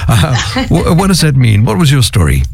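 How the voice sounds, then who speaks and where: A man's voice: mid-range voice, wildly swooping pitch, moderate pace, gravelly, a little hiss or hum. A man hosting a faith talk show, affirming his guests and leading the questions, with many fillers. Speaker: host.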